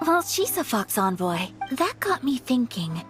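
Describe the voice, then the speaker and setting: A refined young noblewoman from an anime game. Her voice is on the high side, with polite, formal and earnest phrasing and a gentle temper. Music plays clearly behind her. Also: sing-song, measured pace. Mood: curious, earnest. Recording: clean voice over game music.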